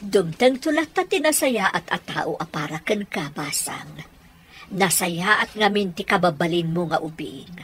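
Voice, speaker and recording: A woman's voice: lowish voice, lively intonation, measured pace, a bit bright, slight background noise. An actress playing a wronged, emotive mother in a radio drama, with an Ilocano accent. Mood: tender, hopeful. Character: wronged, indignant mother, kindly to younger woman.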